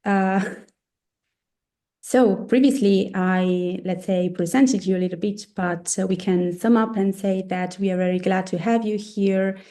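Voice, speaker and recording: A woman moderating an online session, introducing a guest slowly and warmly. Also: medium pitch, steady tone.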